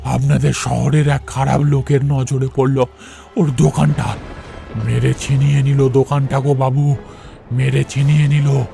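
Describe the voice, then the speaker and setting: A man playing an eerie, humble old village boatman ghost in an audio horror story, with a creaky, gravelly voice. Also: mid-range voice, little pitch movement, a little slow, warm tone, faint music behind. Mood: bitter, mournful.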